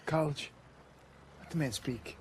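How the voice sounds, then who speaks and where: An actor playing a warm, streetwise, wisecracking American soldier buddy with slangy, chummy talk in a war game. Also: male voice, a little high, a little slow, slight background noise, lightly acted. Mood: supportive. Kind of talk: war game cutscene acting.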